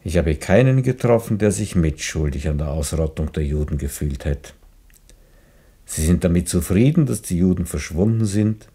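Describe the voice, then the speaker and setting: An actor playing a grave, disillusioned man in a radio play, speaking in a measured, brooding, sardonic manner. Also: deep voice, animated, mellow, slightly rough, full character voice. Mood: grave, bitter. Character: grave, disillusioned believer, bitter moral accuser. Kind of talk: German radio play acting.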